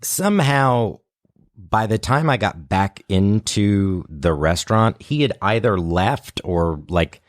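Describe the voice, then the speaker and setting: A man's voice: fairly low pitch, lively intonation, slow, dry recording, studio quality. A man telling a casual comic story in a conversational, self-deprecating way, as a comedian guest on a podcast.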